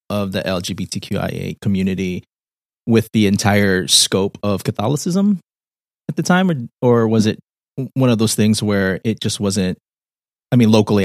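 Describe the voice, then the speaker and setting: A man interviewing a guest in a relaxed conversation, thoughtful and hesitant, often restarting his sentences. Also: fairly low pitch, no echo, clean studio sound.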